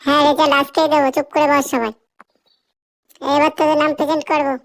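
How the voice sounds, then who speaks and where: Performer as a strict cartoon teacher, high-pitched, very fast talking, bossy, scolding, comedy dub. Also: flat delivery, gravelly, rough recording. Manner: bossy, instructing.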